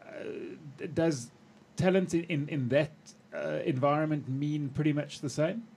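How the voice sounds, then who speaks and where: A man co-hosting a talk show, asking a hesitant, halting question. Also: fairly high pitch, steady tone, gravelly, darkish tone, slightly rough. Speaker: co-host.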